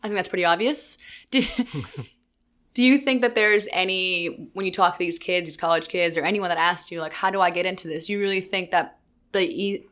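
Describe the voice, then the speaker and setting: A woman's voice: on the low side, normal speed, fairly bright tone. A woman hosting a podcast, interviewing her guest in a casual chat and asking questions in a friendly, joking way.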